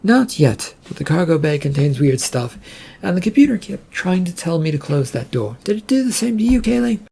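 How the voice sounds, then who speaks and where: A man voicing a brisk, worried ship's captain who gives orders with authority in an audio story. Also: fairly high pitch, sing-song, gravelly, warm tone, breathy edge, voice pushed up, exaggerated voice. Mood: concerned.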